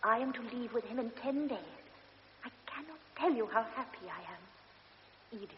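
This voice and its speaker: A woman's voice: a little high, lively intonation, normal speed, close to an everyday voice. An actress playing a hopeful young woman who speaks eagerly in a radio drama. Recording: dull radio recording with long reverb and faint hiss.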